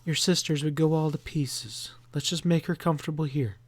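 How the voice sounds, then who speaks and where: A soft-spoken, caring farm mother, as a male storyteller puts her on, murmuring low and soothing words. Her voice is dry and close, with no room around it. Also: fairly even intonation, moderate pace, no echo, natural voice. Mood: gentle. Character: gentle caring farm mother, comforting son.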